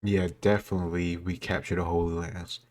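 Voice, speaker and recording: A man's voice: sits low, little pitch movement, brisk, a little mellow. A man giving casual commentary on a history quiz, thinking aloud in an informal, rambling way. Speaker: host reacting to a history quiz video.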